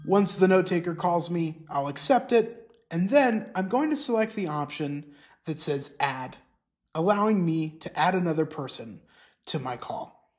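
Man narrating a software tutorial. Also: a little high, expressive, unhurried. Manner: clear step-by-step explaining. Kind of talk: scripted instructional narration.

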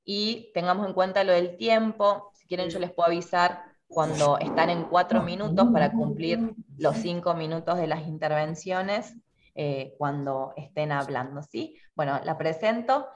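A woman's voice: lowish voice, moderate pace. A woman in her thirties moderating an online meeting and introducing the next speakers. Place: indoor room with bookshelves, on a video call. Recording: dull lo-fi video call audio.

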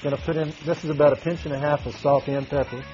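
A man's voice: on the high side, fairly even intonation, quick pace, dull audio. Folksy man chef cooking on TV. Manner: folksy, talks while cooking with frequent fillers. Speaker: restaurant chef. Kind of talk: live TV cooking demo. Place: TV studio kitchen set. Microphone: lapel mic.

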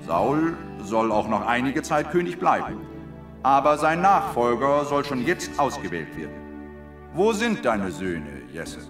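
An actor playing a solemn, authoritative messenger of God in a Bible audio drama, firm and declarative. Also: male voice, medium pitch, very animated, measured pace, music in the background.

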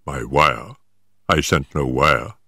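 A solemn, pompous country vicar with a stiff and easily affronted manner, as a man reading a story puts him on. The voice swings from low and grave to high and indignant, with a dry close sound. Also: lively intonation, slow pace, bright, forceful tone, dry recording, full character voice. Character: big, solemn, pompous male clergyman.

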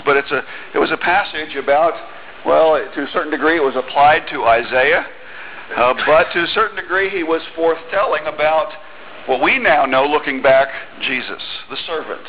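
A man's voice: fairly high pitch, moderate pace, muffled recording, slight background noise. A middle-aged man preaching a sermon in a church on a headset mic, talking conversationally with small restarts and reading scripture aloud. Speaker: pastor. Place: church sanctuary.

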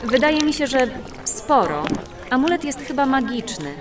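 A woman's voice: high voice, sing-song, moderate pace, far from the mic, music in the background. A woman playing a practical, level-headed fantasy adventurer in an audio drama, speaking in a matter-of-fact, composed way.

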